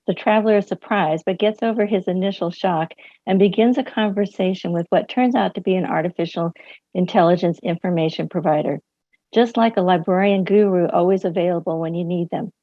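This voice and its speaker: Woman host with a lowish voice, reads a scripted intro; dry, muffled video-call sound. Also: no room sound. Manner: reads from a script, measured and deliberate. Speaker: library event host.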